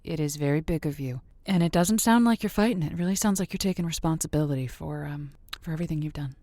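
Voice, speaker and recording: A woman's voice: low-pitched, quick pace, mellow, no echo, lightly acted. A woman acting as a dauntless, flirty radio matchmaker with a chatty, sympathetic way of talking. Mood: gentle, measured. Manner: sympathetic, chatty, addresses listeners with pet names. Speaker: late-night call-in radio host. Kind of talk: conversational radio drama acting.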